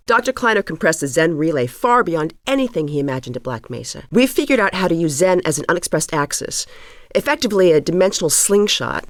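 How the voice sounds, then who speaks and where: A woman's voice: deep voice, dry recording, studio quality. An actress playing an earnest, composed middle-aged woman physicist in a video game, speaking precisely and a little formally. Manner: precise, articulate, slightly formal. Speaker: scientist character in a video game. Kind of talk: scripted video game voice acting.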